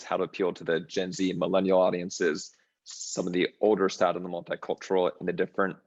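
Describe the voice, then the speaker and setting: A man moderating a fireside chat, introducing the guest in a friendly, measured way. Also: sits low, highs cut off.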